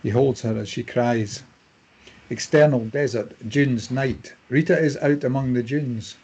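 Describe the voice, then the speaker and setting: A man's voice: mid-range voice, measured pace, darkish tone, breathy edge, rough recording. A man reading stage directions as scripted narration for a drama table read.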